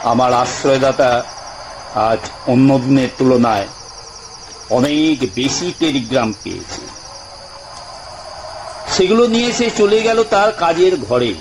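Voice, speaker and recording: Slow, very expressive male story narrator. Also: mid-range voice, noisy background. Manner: theatrical, deliberate phrasing with frequent pauses. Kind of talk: dramatic first-person scripted narration. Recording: music bed behind narration.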